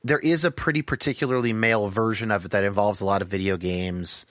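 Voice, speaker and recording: Man, higher-pitched voice, thoughtful hedging talk, dry close recording. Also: fairly even intonation, fast, a bit bright, no echo. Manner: thoughtful, hedging, many "like" fillers. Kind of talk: casual conversation.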